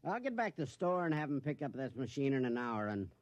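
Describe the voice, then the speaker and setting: A man's voice: medium pitch, sing-song, fast, no echo, highs cut off, natural voice. An actor playing an earnest, flustered company salesman in a 1950s radio drama, formal and urgent. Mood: relieved, flustered.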